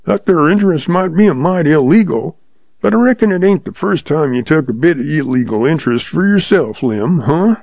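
A man voicing a wily old male cowboy with a calm, slow Western drawl. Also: fairly high pitch, gravelly, no room sound, highs cut off, pitched above the performer's own voice. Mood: calm, sly.

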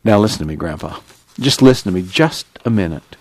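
Worried rural family patriarch, performed by a male reader: pleading, urgent, country speech, lively intonation, novel narration. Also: mid-range voice, normal speed, no echo, lightly acted, poor audio. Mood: exasperated, pleading. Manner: urgent, folksy rural dialect. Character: anxious, exasperated farm father pleading with his family.

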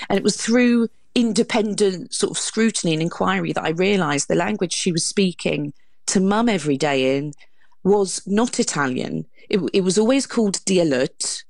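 A woman's voice: on the low side, creaky, dry recording. A woman, a guest author explaining her subject articulately in an interview.

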